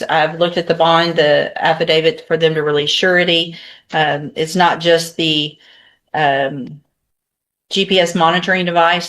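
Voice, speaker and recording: A woman judge speaking officially in court, pausing often as she explains her rulings. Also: sits low, fairly bright tone. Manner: official, with hesitant pauses. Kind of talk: courtroom ruling, spontaneous speech.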